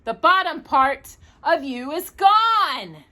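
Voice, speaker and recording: A woman's voice: high-pitched, big pitch swings, takes it slowly, bright, forceful tone, voice pushed up, exaggerated voice. A woman voicing an eager, plucky young boy in a storybook reading. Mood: alarmed.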